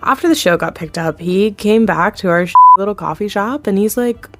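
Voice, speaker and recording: A woman's voice: mid-range voice, fairly quick, natural voice. An actress in her thirties playing a candid, chatty TV executive producer who laughs easily and talks down her own success. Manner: candid, chatty, laughs often, self-deprecating. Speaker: TV executive producer, interview guest. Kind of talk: scripted audio drama, acted interview.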